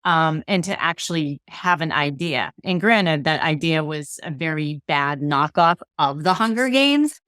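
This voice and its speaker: Middle-aged woman, low voice, dry home-office sound, unhurried author guest. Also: no echo. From Massachusetts. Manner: reflective, relaxed storytelling with soft laughs. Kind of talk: casual interview chat. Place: home room with bookshelves. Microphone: video call.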